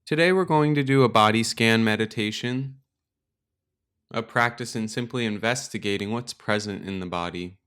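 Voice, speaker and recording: A man leading a guided meditation as a teacher, speaking in a calm, gentle, unhurried way with pauses. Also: on the low side, clear, resonant tone. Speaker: meditation teacher and podcast host. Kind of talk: guided meditation, slow and instructional.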